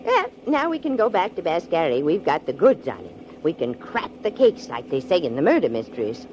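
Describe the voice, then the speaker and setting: A spirited little old lady in a vintage radio drama, eager and polite. Her pitch swoops wildly as she flutters along. The old recording is dull and clearly noisy. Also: big pitch swings, fairly quick, a little mellow. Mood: pleased, eager. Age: older. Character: spirited little old lady, thrilled murder-mystery fan. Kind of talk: 1940s radio drama acting.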